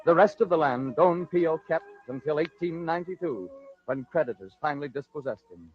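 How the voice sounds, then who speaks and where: An American man with a somewhat high voice narrates in a theatrical, measured 1940s radio style. The recording is dull and lo-fi, with some music behind him. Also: expressive. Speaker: narrator and host of a radio drama.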